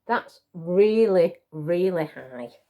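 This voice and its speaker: A woman's voice: fairly low pitch, animated, extremely slow, dark tone. A woman reading a picture book to young children, with encouraging asides and questions to her listeners. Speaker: children's storyteller.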